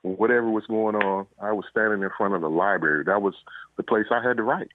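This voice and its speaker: A man being interviewed as an author over a muffled remote line, talking casually and often halting to search for words. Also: lowish voice, slight background noise.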